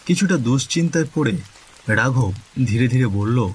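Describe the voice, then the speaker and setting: A man's voice: medium pitch, expressive, moderate pace, a little mellow, rough recording. A man narrating a scripted cartoon story as its storyteller. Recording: faint background noise.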